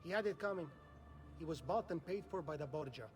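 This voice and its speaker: A man's voice: a little high, expressive, fairly quick, darkish tone, distant mic, lightly acted. An actor voicing a proud, shrewd older nobleman who speaks in a haughty, clipped manner.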